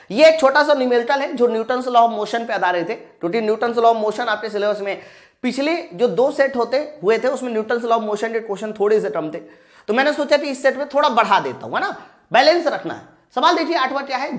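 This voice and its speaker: A man's voice: very high voice, fairly bright tone. A man teaching an exam-prep lesson, asking and answering his own questions as he addresses students directly. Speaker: physics teacher. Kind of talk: exam-prep lesson, working through problems aloud.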